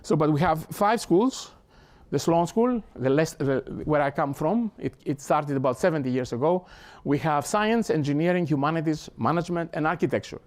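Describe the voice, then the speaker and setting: An older Greek-accented man lecturing to an audience with a somewhat raised pitch. He is earnest and halting, often restarting and repeating words as he works toward his point. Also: animated, a little mellow. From Greece. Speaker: professor. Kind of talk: academic talk. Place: lecture hall.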